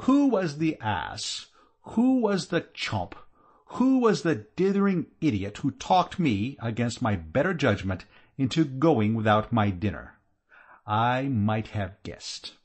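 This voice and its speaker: A man voicing an irate, sharp-tongued aristocratic aunt in an audiobook, scolding with scathing exasperation. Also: medium pitch, very animated, slow. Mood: indignant. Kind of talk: audiobook character voice.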